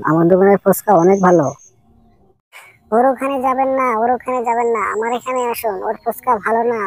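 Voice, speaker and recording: A woman's voice: medium pitch, a little mellow, dry recording, lightly acted, low-quality recording. A woman voicing a quarrelsome street snack vendor who hawks insistently in a cartoon story. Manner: insistent, repetitive hawking.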